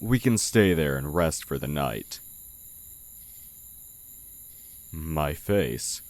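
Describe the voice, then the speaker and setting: Sly male demon in blacksmith's guise, acted by a man for audio roleplay: very low, unhurried, condescending, crickets behind. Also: lively intonation, dry recording, heavily acted. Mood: coaxing, guarded. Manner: slow, measured, condescending. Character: sly male oni demon posing as a humble village blacksmith. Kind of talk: audio roleplay, intimate character acting. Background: night forest ambience with crickets.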